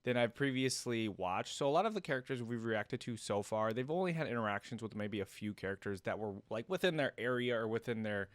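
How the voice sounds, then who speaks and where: A man's voice: medium pitch, expressive, a little fast, few pauses, dry recording. A man giving casual, rambling reaction commentary as a video host, thinking aloud. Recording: music playing behind.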